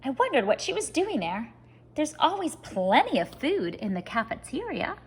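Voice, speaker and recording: A woman reading a children's story aloud like a teacher, expressive and speaking straight to young listeners. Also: high-pitched, normal speed, a little hiss or hum. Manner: expressive, addressing children directly.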